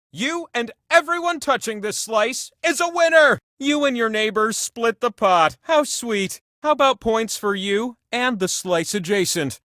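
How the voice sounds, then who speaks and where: A male actor voicing a theatrical, excitable talking prize wheel that hosts a video game, with gushing, dramatic delivery. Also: sits high, no room sound, studio quality, full character voice. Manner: gushing, theatrical, swings between thrilled and mock-dismayed. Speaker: game show host. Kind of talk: animated video game character voice lines.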